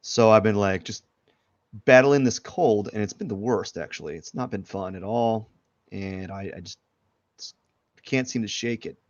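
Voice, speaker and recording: A man with a cold talks in a low, gravelly voice, sniffling as he rambles loosely through his drawing steps. The sound is dry and dull, like lo-fi stream audio with no room echo. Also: normal speed. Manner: loose and rambling, many fillers. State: has a head cold, sniffling. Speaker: artist streaming a drawing session. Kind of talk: casual live drawing commentary.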